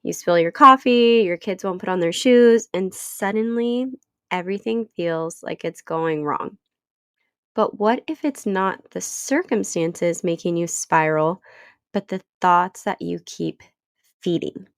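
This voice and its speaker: A woman's voice: fairly low pitch, unhurried, smooth, no echo, clean studio sound. A woman in her thirties hosting her own podcast, stuffy from a cold, talking in an earnest, encouraging way. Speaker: podcast host. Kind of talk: solo podcast talk.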